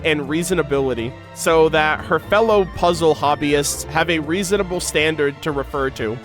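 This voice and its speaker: Higher-voiced man reacting over music. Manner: informal slangy asides between measured reading. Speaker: video creator reacting to game lore. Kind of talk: reading lore aloud with casual running commentary.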